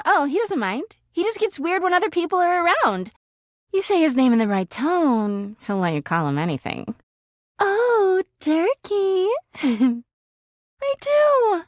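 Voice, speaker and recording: Jealous talking laundry hamper, female, video game character, high-pitched, wildly animated intonation, sugary then bitter, clingy and melodramatic. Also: dry recording, clean studio sound. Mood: apologetic, coy. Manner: gushing sweetness flipping into bitter, dramatic outbursts.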